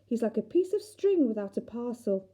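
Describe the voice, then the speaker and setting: A woman's voice: on the high side, sing-song, normal speed, mellow. A woman voicing a squeamish, sceptical young girl in a children's storybook, with a blunt, exclamatory manner. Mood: dismissive. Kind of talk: children's storybook character reading.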